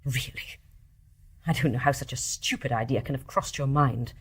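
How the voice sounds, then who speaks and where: An actress playing a formal, insistent, dutiful British private nurse in a radio drama. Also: female voice, rumbling low, normal speed, slightly rough. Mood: affronted. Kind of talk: British stage-play radio acting.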